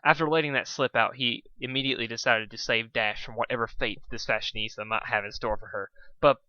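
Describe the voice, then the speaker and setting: A man's voice: medium pitch, steady tone, quick pace, pushed and bright, muffled recording. A man narrating a story casually as an amateur reader, now and then stumbling and rereading his lines. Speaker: fan fiction reader.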